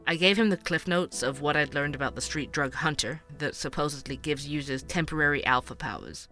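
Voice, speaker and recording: A man's voice: a little high, brisk, sharp tone, soft background music. A man playing a curious, wry investigative podcast host, narrating in a conversational, scripted style.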